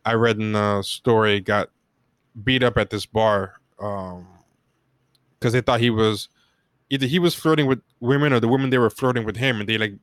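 An American man talking casually and reflectively in an interview, feeling his way through his points in a halting manner. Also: on the low side, clean tone, no echo, studio quality. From United States. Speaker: podcast guest.

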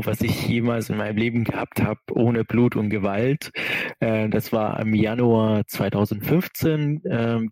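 A young man in his twenties from Syria, a German speaker, answering interview questions with many pauses and fillers in an earnest way. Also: medium pitch, a little mellow, no echo, poor audio. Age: 20s. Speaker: guest.

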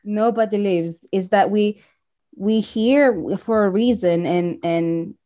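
A woman's voice: medium pitch, slow, highs cut off. Woman from Congo telling her story. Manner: warm storytelling with frequent restarts. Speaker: guest. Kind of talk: casual interview chat.

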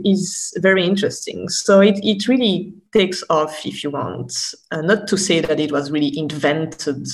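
A woman's voice: sits low, low-quality recording. A woman speaking as an academic guest in an interview, explaining thoughtfully and often stopping to rephrase. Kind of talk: unscripted explanatory interview talk.

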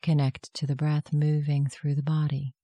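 A meditation guide giving gentle, soft-spoken, measured instructions in a scripted guided meditation. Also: medium pitch, completely flat delivery, no room sound.

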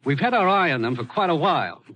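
An actor playing a brisk, authoritative spy agency commissioner in a 1950s radio drama, firm and clipped. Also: male voice, a little high, very animated, no room sound, lo-fi sound. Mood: businesslike. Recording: vintage radio recording, slight noise.

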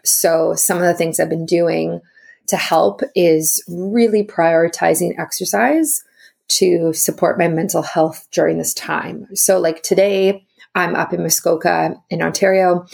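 Gravelly, husky-voiced woman podcast host. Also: lowish voice, a little mellow. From South Africa. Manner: chatty, upbeat, heavy use of "like". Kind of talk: casual solo podcast chat.

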